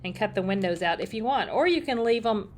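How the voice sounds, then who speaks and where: A middle-aged woman, slightly hoarse, chatting upbeat through a casual live crafting demo and greeting viewers by name. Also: mid-range voice, expressive, a little fast, darkish tone, a little hiss or hum. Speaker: paper-crafting demonstrator.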